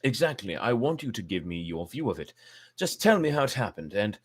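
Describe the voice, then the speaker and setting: A man's voice: lowish voice, sing-song, fairly quick, breathy edge. A man voicing a polite, probing male newspaper interviewer in a raised, lighter voice. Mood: inquiring.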